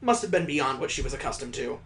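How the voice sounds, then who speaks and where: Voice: medium pitch, lively intonation, quick pace, fairly bright tone. A let's-play host reading a visual novel aloud in a dramatic narrating style, breaking off into wry, incredulous, casual asides.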